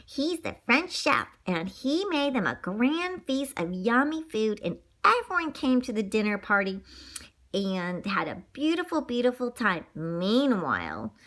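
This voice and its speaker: Animated, bright-voiced woman reading a storybook. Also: fairly high pitch. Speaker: storybook reader. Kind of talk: children's story read aloud, expressive.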